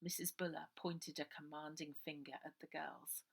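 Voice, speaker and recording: A woman's voice: low voice, level tone, normal speed, creaky. A woman reading her children's novel aloud as a dramatic storybook narration.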